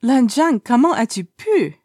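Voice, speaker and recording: A woman reading the part of a playful, nosy, dramatically indignant young man in a story, animated and mischievous. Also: fairly high pitch, measured pace, husky, no room sound, studio quality, pitched above the performer's own voice, exaggerated voice.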